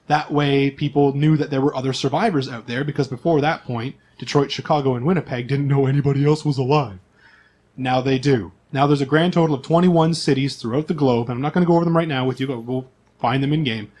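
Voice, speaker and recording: A man's voice: mid-range voice, fairly even intonation, fast talker, a little mellow. A man speaking as game master, explaining the game's setting to his players in an informal, conversational way.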